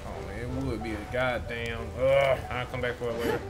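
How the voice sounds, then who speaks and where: A man's voice: mid-range voice, fairly even intonation, fairly quick. A man giving casual, slangy gameplay commentary, half muttering to himself as he plays. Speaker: gaming streamer. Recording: game music behind voice. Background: video game music.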